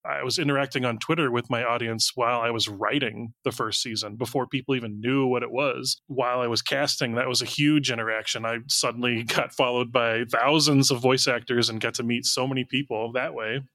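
A man's voice: medium pitch, steady tone, fairly quick, few pauses, bright, forceful tone, no room sound. An American man chatting casually as an interview guest, a writer talking about his work. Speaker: interview guest, writer and audio drama director.

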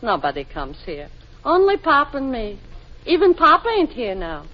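A plaintive, glum little shopkeeper for a 1940s radio play. Her fairly high voice swings up and down a lot, on a dulled old recording. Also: huge pitch swings, unhurried, lo-fi sound, exaggerated voice.

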